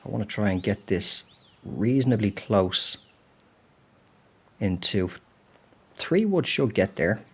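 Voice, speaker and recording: A man's voice: lowish voice, animated, slow pace, pauses often, breathy edge. A British man hosting a golf video game playthrough, chatty and thinking aloud, sounding a little throaty and stuffed up from a cold. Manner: chatty, apologetic, thinking aloud while playing. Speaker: gaming channel host. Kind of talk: relaxed golf game commentary.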